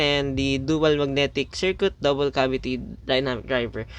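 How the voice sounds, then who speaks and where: A man's voice: medium pitch, a bit bright. A man whose native language is Filipino, casually reviewing a product on camera, with frequent fillers, restarts and "okay" checks between points. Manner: hesitant, many fillers and restarts.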